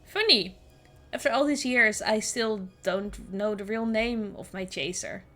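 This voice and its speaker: A woman's voice: mid-range voice, unhurried, sharp tone, soft background music. A woman whose first language is Dutch, hosting a podcast and reading a horror story aloud, then chatting and giggling about it. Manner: giggly and chatty in conversation, with frequent laughs. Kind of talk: reading a creepy story aloud, with casual chat between passages.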